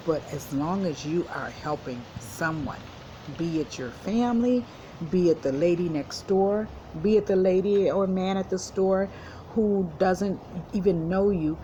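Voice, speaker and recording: A woman school counselor speaking to young children in simple, encouraging words with deliberate emphasis, as in a read-aloud lesson. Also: low voice, background noise.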